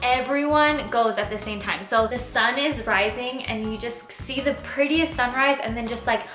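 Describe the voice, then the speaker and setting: Young woman, Californian accent, casual vlog chat, emphatic and informal, very bright tone, echoey room. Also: fairly high pitch, normal speed. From Orange County, California. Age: 20s. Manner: chatty and informal, with emphatic exaggeration. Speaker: travel vlogger and nurse. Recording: echoey room with faint music.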